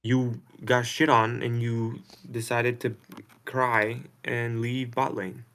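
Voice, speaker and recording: A man's voice: medium pitch, flat delivery, slow pace, fairly bright tone. A man on a voice call, defensive and irritated, swearing often. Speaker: caller. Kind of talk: heated argument on a voice call.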